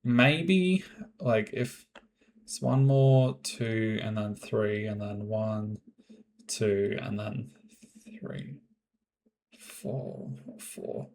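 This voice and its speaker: A man hosting his own Minecraft building videos, chatting casually in a rambling, monotone way and laughing often. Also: very slow, creaky, long pauses, a little hiss or hum. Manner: monotone, fairly even pitch. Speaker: Minecraft builder and channel host. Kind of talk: casual gameplay commentary and voiceover.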